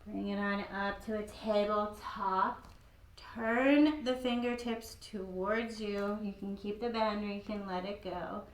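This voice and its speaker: A woman with a croaky voice guiding a gentle yoga class in an old studio, cueing calmly with light laughs. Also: medium pitch, measured pace, reverberant, low-quality recording. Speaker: yoga instructor.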